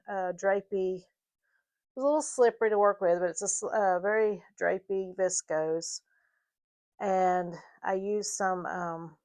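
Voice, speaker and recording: A slightly hoarse woman with a Southern US mountain accent, explaining her sewing project in a casual, halting tutorial with fillers. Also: on the low side. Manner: halting, with frequent fillers and restarts. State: a little hoarse. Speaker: sewing vlogger.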